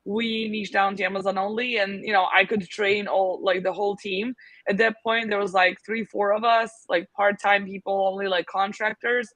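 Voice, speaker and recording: Interviewgast: Frau mit serbischem Akzent, heller Klang, viele Füllwörter, trockene Aufnahme. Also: female voice, medium pitch, little pitch movement, moderate pace, a bit bright, no room sound. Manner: many fillers, thinks aloud. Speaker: agency founder, guest. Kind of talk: casual interview talk.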